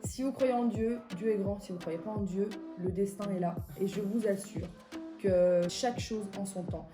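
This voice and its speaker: A woman from Belgium talks casually with plenty of fillers and restarts. Her voice is mid-pitched and sits in a roomy, echoing space, with soft music underneath. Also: normal speed. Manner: chatty, gives life advice, many fillers. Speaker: beauty vlogger answering questions. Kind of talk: casual chat.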